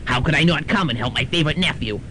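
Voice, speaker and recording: A performer voicing a chatty, overfamiliar middle-aged psychic aunt in a student radio drama. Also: male voice, fairly high pitch, fast talker, bright, forceful tone, a little hiss or hum. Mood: ominous. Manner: chatty, overfamiliar, then ominous.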